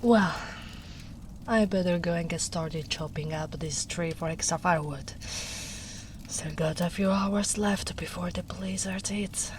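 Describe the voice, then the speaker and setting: A woman voicing a gentle, protective big female ogre in a tender, soft-spoken ASMR roleplay, often slipping into whispers. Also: fairly low pitch, a little slow, background noise, close to an everyday voice. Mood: warm teasing.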